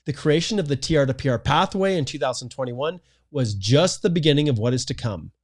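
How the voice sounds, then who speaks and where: Male presenter, scripted explainer, animated pitch, punchy list delivery. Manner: lively, emphatic delivery of a numbered list. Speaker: immigration consultant presenting a video.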